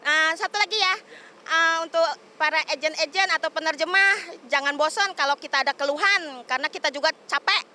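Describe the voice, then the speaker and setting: Bright-voiced high woman, casual. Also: brisk, a little hiss or hum. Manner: casual, chatty, trailing off quietly. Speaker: migrant worker, caregiver. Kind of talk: street interview answers.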